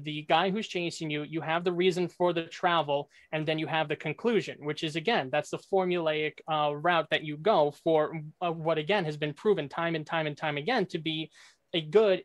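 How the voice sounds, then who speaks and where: A man with a fairly high voice argues his side in an unscripted debate. He explains step by step and keeps checking that listeners follow. The sound is dry, with very little room in it. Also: no room sound. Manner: explanatory, checks with rhetorical "right?". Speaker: debate contestant.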